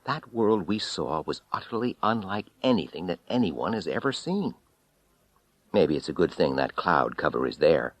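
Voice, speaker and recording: A man narrating a first-person story as an audiobook reader, expressive and brooding, with dramatic pauses. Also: sits low, no echo.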